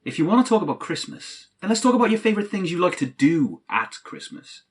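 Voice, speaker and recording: Man playing husky, lively, exasperated best friend. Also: sits high, quick pace, full character voice. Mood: placating. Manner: wry, long-suffering. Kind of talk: comedic audio play acting.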